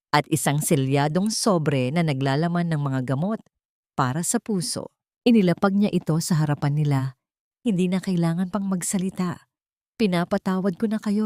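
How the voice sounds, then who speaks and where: A woman's voice: lowish voice, darkish tone, no echo, studio quality. A woman reading a scripted, dramatic love story as its narrator.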